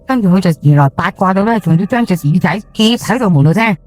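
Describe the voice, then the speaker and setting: A woman's voice: mid-range voice, expressive, a little mellow, close to an everyday voice. A woman playing a chatty, superstitious housewife in her sixties with a Hong Kong accent, telling a ghost story in a colloquial, gossipy way. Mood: gossipy, spooked. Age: 60s. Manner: colloquial, gossipy, with drawn-out sentence particles and exclamations. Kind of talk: first-person ghost story monologue for audio drama.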